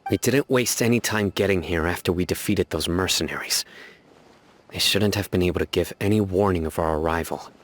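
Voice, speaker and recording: An actor voicing a stern, composed young warrior in a game, speaking in a measured, serious way. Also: male voice, low voice. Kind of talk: game cutscene voice acting.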